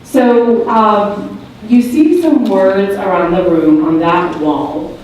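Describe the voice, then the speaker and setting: A woman with a loud voice, patiently explaining the steps of a public meeting activity. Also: medium pitch, takes it slowly, a little hiss or hum. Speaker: meeting facilitator. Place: large meeting room. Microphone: far room recording. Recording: echoey room from far off.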